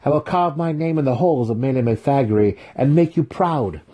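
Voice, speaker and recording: Man putting on a high-pitched, proud young elf warrior. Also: lively intonation, normal speed, warm tone, husky. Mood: earnest. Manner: earnest, declaiming.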